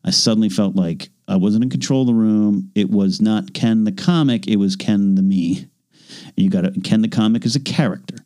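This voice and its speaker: A man's voice: lowish voice, brisk, warm tone. A man co-hosting a casual podcast, talking in a rambling, self-deprecating way with many restarts. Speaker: podcast co-host. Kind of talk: casual chat.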